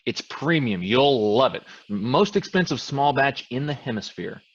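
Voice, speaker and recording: A man's voice: medium pitch, animated, unhurried, breathy edge, muffled recording. A man acting an eager, casual newcomer in a staged play reading, persuasive and often stammering. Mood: proud, salesy. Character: eager, casual, wheedling newcomer with expensive booze.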